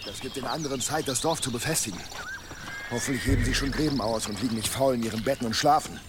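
An actor playing a gruff, reckless pagan berserker in an audio drama, gravelly and blunt. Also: male voice, mid-range voice, fast, very noisy, close to an everyday voice. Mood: wary, grumbling. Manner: blunt, cursing.

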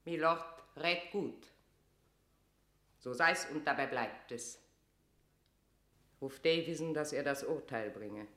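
Troubled, imperious queen for classical radio drama: slow, tense, halting declamation, wavering, roomy acoustic. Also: deep voice, a bit bright. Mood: commanding. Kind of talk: classical German stage-verse radio drama.

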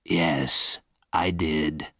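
Man voicing a deep, weary old ghost, very slow. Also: steady tone, vocal fry, fairly bright tone, no room sound, studio quality, full character voice. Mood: matter-of-fact, gloomy. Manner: slow, mournful, polite. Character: sad, weary, lonely old male ghost.

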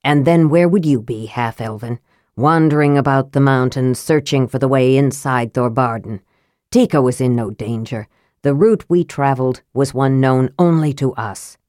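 Cold, sly male wizard for a fantasy storybook, played by a woman. Low, smooth, measured, aloof. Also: darkish tone, dry recording, clean studio sound. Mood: smooth, cutting.

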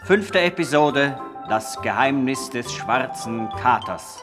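A man's voice: medium pitch, expressive, takes it slowly. A man making a scripted title announcement for a radio drama. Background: music.

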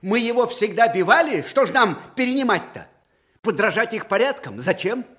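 Proud, fiery old field marshal, animated. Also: male voice, high-pitched, normal speed. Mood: indignant. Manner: theatrical, emphatic, with repeated phrases. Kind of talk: Soviet-era radio drama acting.

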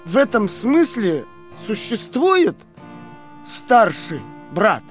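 A halting, high-voiced male prisoner. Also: big pitch swings, soft background music, exaggerated voice. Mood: earnest, insistent. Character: weakened male prisoner, questioning, stubbornly clinging to reason. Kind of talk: dramatic audio play acting.

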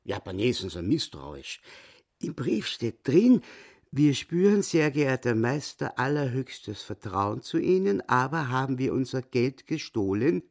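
Raspy, boastful little dwarf hustler. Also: male voice, mid-range voice, big pitch swings, measured pace, darkish tone, exaggerated voice. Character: boastful, touchy male dwarf chess hustler and swindler. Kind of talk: radio play acting.

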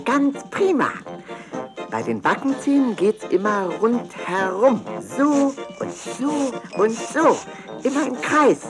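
A woman playing a spirited, clever animal who teaches tooth care in a children's audio play, instructive and emphatic. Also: a little high.

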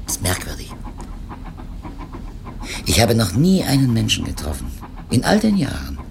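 Expressive, low-voiced editor. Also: male voice, unhurried, creaky, noisy background, exaggerated voice. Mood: rueful, reflective. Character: conscientious male publishing editor, talkative, evasive, self-reproachful. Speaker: publishing editor. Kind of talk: 1970s East German radio drama acting.

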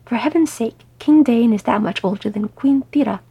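Woman as a firm, husky dwarf matriarch. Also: on the high side, moderate pace, warm tone. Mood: firm, fond. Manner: scolding, emphatic. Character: firm, sensible, affectionate older dwarf wife.

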